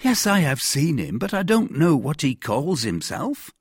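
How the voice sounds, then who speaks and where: A man's voice: fairly high pitch, wildly swooping pitch, measured pace, slightly rough, no echo, clean studio sound, pitched above the performer's own voice, heavily acted. A man voicing a timid, anxious husband in an audiobook, protesting and pleading in a whiny, over-earnest way. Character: timid, pleading middle-class husband, anxious and eager to placate. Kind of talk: dramatized audiobook reading.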